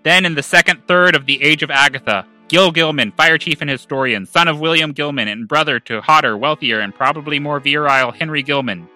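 A man's voice: fairly high pitch, fairly quick, hardly any pauses, pushed and bright, soft background music, rough recording. A man playing a grave, grandiose fantasy saga narrator in a comic audio play. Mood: grand, portentous. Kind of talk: comic amateur audio drama.

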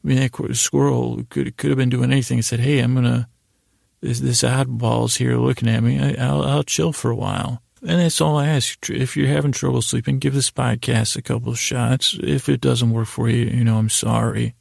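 An American man with a gravelly voice hosts a bedtime podcast, rambling in a halting, stammering, lulling way that keeps interrupting itself. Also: medium pitch, flat delivery, a little mellow, no room sound, studio quality. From United States. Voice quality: creaky, gravelly. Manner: lulling, soothing delivery. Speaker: podcast host. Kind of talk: rambling bedtime monologue for sleep.

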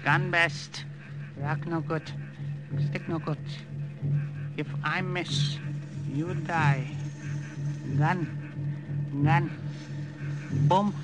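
A very slow-spoken jungle scout. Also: male voice, fairly high pitch, steady tone, loud music behind the voice. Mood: tense, urgent. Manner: broken English, halting, clipped phrases. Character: terse native Burmese jungle scout, wary and cautious. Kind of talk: 1950s radio drama acting. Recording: old noisy radio recording.

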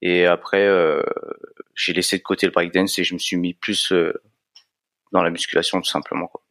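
A man in his late thirties from France, a fitness coach talking casually as an interview guest, relaxed and hesitant with many fillers. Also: sits low, normal speed, no echo. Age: 30s.

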